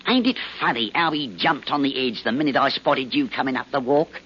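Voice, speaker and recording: Husky British working-class chum played by an actor. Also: male voice, medium pitch, brisk, natural voice. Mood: matter-of-fact, rueful. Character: chatty working-class British male pal, self-absorbed. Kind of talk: 1940s radio acting. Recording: muffled vintage 1940s radio recording.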